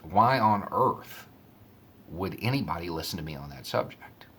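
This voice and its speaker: Man, very low-pitched voice, measured conversational storytelling, casual commentary, some background noise. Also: animated, fairly bright tone. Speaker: online commentator.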